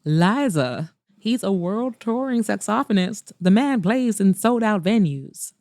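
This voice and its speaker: A woman's voice: mid-range voice, expressive, a little slow, darkish tone, no room sound, studio quality, voice pushed up. A woman voicing a meddling, teasing female friend with a playful manner in a romance audiobook. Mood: teasing, coaxing. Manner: playful, nudging.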